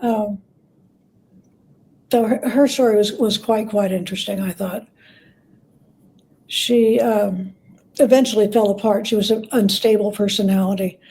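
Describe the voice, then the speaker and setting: A woman talking as an interview guest, telling stories in a halting, reflective way with repeated words. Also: mid-range voice, fairly even intonation, creaky, warm tone, rough recording. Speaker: guest author and biographer. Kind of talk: conversational interview storytelling.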